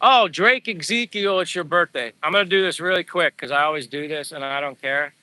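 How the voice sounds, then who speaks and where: Middle-aged male livestreamer, rambling and friendly, bright ringing tone, hoarse edge. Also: a little high, fairly quick, no room sound. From Pennsylvania, USA. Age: 50s. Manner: rambling, chatty, answers viewers by name. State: voice going hoarse from talking. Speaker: livestream vlogger. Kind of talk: casual live chat reading comments while walking. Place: outdoors on a walk.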